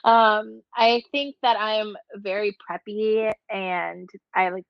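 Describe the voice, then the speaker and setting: A woman's voice: medium pitch, fairly even intonation, slow, vocal fry, clear, resonant tone, no echo. Young woman, podcast co-host, rambling casual chat, dry slightly muffled sound. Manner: chatty, rambling, heavy use of "like".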